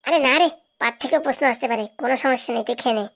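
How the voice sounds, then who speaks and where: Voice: high-pitched, racing, a bit bright. A performer voicing a doting, hopeful mother who fusses over her boy in a comedy cartoon. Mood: encouraging, fussing. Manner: fussing, encouraging.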